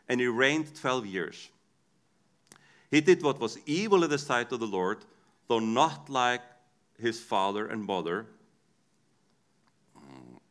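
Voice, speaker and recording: A man's voice: medium pitch, a bit bright. A man with an Icelandic accent, a native Icelandic speaker, preaching a sermon in a conversational storytelling way with frequent restarts.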